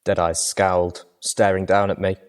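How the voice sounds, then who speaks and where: A man's voice: low voice, flat delivery, moderate pace, background noise. A man reading first-person dramatic fiction as an audiobook narrator.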